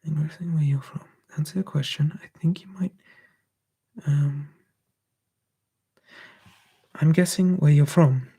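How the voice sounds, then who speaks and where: ASMR streamer, gravelly mellow whisper, close mic, easygoing chat. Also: medium pitch, fairly even intonation, a little slow, pauses often. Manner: soft, drifting between whisper and quiet voice, musing.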